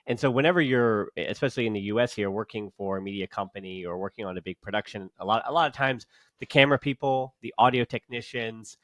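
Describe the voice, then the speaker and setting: Rambling man, low voice, dry sound. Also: quick pace, fairly bright tone, no room sound. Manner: rambling, many restarts and fillers. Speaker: podcast host. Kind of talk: casual podcast conversation.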